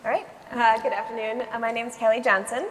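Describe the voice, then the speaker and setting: A woman speaking on a conference panel in a clear, businesslike, explanatory way. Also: on the high side, fairly even intonation, quick pace. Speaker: panelist, HR advisory leader. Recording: reverberant hall, faint room noise.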